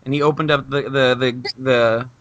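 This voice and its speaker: A man's voice: medium pitch, fairly even intonation, normal speed, a bit bright, highs cut off. Casual man with faint background noise. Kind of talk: casual chat.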